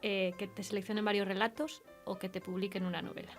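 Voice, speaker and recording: A woman's voice: medium pitch, little pitch movement, fast, faint music behind. A woman hosting a casual radio interview in a tactful, encouraging way. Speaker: radio show host interviewer.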